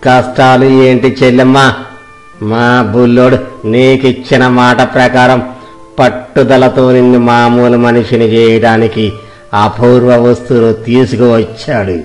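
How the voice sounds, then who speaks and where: Casting: male actor as righteous ex-bandit, mid-pitched, emphatic and boastful, storybook tale over music. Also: flat delivery, unhurried, natural voice. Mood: reassuring. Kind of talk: dramatised moral story.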